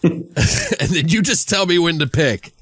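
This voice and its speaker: A man's voice: fairly high pitch, big pitch swings, a little slow, a bit bright, breathy edge, no room sound, studio quality. A man hosting a horror podcast, chatting casually, joking and prompting his guest. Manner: friendly, jokey, prompts the guest. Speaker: podcast host.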